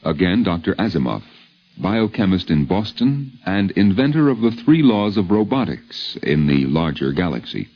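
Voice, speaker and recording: A man's voice: low-pitched, big pitch swings, moderate pace, breathy edge. A man narrating a scripted 1950s radio documentary in a polished, formal style with a wry literary turn. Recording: dull lo-fi 1950s radio recording.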